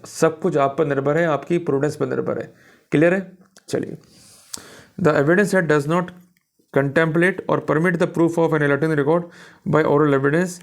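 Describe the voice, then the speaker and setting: A man's voice: a little high, creaky. A man with an Indian accent lecturing on law in a didactic way, reading passages out and explaining them. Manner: didactic, checks understanding with short questions; reads English passages slowly and deliberately, explains quickly in Hindi.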